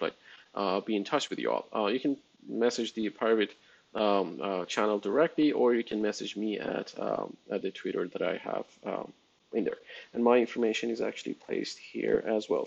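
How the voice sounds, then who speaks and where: A man whose first language is Farsi, walking through a software release in a relaxed, rambling way, with frequent fillers. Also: mid-range voice, gravelly, muffled recording. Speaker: software developer presenting a release. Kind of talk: casual screen-share walkthrough.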